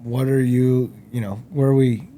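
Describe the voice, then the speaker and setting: Mellow-voiced male interview host. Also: mid-range voice, fairly even intonation, normal speed, slight background noise. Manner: frequent affirming interjections, tag questions. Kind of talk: casual interview conversation.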